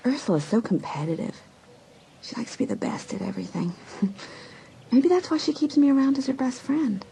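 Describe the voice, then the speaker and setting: A teenage girl in an audiobook drama, high-voiced and very animated. She is sporty and wry, easily exasperated, over a noisy background ambience. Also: moderate pace, gravelly, heavily acted.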